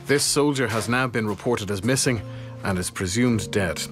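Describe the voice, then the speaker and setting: Male reporter, lower register, scripted news narration with clear music bed. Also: normal speed.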